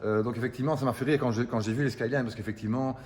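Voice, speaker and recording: A man's voice: medium pitch, steady tone, very fast, a little mellow, in a large hall. An older man, explaining car restoration in a casual interview, hesitant and repeating words. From Italian roots.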